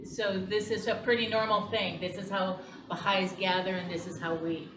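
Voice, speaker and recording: A woman's voice: mid-range voice, fairly even intonation, moderate pace, background noise. A woman from Wisconsin, hosting a home gathering and chatting casually with fillers. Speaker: host. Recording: echoey room from far off with gathered people.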